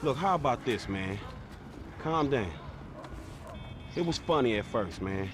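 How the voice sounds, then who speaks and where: An actor playing an easygoing young street friend in a video game, slangy and placating. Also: male voice, medium pitch, lively intonation, a little slow, close to an everyday voice. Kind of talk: video game cutscene acting. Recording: game audio with noisy outdoor ambience.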